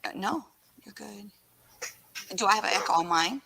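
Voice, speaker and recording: A woman hosting a casual livestream in a raspy voice, sick with a scratchy throat and talking in a rambling, halting way. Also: mid-range voice, animated, bright, forceful tone. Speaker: livestream host.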